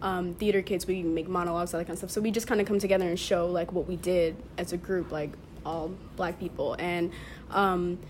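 A woman's voice: on the low side, darkish tone. Teen girl telling a story super casually, says like a lot, some noise behind her. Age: teens. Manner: youthful, filler-heavy talk with frequent "like". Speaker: high school theater student, podcast guest. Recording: clear background noise, unpolished room recording.

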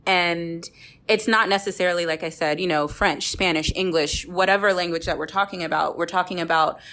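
A woman's voice: on the low side, fairly even intonation, a little fast, a bit bright. A woman hosting a casual group podcast, speaking in an encouraging, reflective way as she sums up what her guests say. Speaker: podcast host.

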